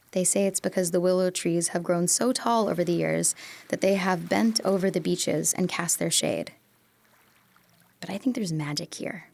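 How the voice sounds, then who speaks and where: Actress doing wistful teasing girl in love, creaky voice, soft hushed bits, audio drama. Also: on the low side. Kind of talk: audio drama acting, intimate monologue and dialogue.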